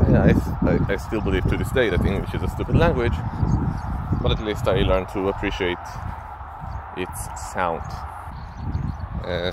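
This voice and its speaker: A young Israeli man, a native Hebrew speaker, talking hesitantly into his phone while walking outdoors along a path near a highway, with many fillers and restarts. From central Israel. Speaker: vlogger. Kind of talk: casual walking vlog. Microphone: phone held while walking. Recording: loud traffic noise outdoors.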